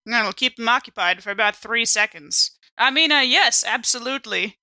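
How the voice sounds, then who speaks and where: Arch, mock-proper station woman acted by a female player: forceful bright tone, slightly high, dryly teasing. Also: expressive, moderate pace, full character voice.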